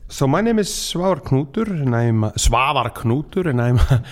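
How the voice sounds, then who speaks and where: A man with an Icelandic accent talking casually as a podcast guest, often pausing to search for words. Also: mid-range voice, very animated. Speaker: singer-songwriter and storyteller, podcast guest.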